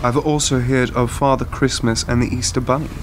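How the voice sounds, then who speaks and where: A man's voice: medium pitch, little pitch movement, brisk, background noise, lightly acted. Wry, sceptical lecturer acted by a man, radio play. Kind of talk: radio drama acting, dialogue.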